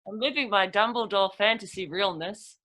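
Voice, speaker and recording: A woman's voice: mid-range voice, normal speed, fairly bright tone, poor audio. A woman chatting casually and comically on a group video call, tongue-in-cheek. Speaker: guest.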